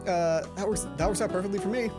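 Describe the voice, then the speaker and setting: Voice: medium pitch, quick pace, over loud music, lightly acted. A let's player voicing a composed, courteous young male tutor from a visual novel. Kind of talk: visual novel character read aloud.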